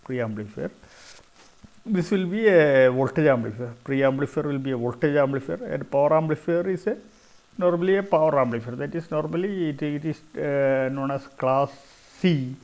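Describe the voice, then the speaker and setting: A man's voice: fairly high pitch, darkish tone. A middle-aged man with a South Indian Malayali accent explains slides as a lecturer, often repeating phrases for emphasis. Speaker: engineering lecturer.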